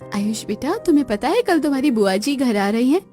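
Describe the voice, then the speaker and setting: A woman voicing a young daughter-in-law in an animated story, light and reassuring. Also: high voice, fairly quick, faint music behind. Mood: soothing.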